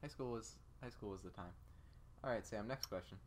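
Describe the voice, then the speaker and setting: A man's voice: fairly low pitch, a little fast, a little hiss or hum. Teasing young man in casual banter. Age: young adult. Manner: teasing, playful. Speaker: podcast co-host.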